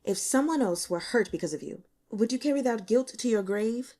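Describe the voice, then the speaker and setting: A woman's voice: fairly high pitch, animated, moderate pace, mellow. A woman voicing a stern, well-educated male professor in an audiobook, grave and insistent with restrained anger.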